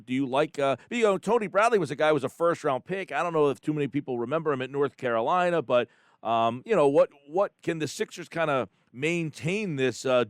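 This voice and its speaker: A man hosting a casual sports radio interview, asking questions in a halting, hesitant way with plenty of ums. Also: mid-range voice, no echo, studio quality.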